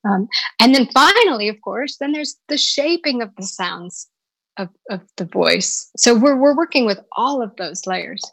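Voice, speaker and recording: A woman's voice: on the high side, animated, a little slow, gravelly, a bit bright, breathy edge, no echo, low-quality recording. A woman working as a voice coach, answering interview questions thoughtfully with frequent restarts and repeated words.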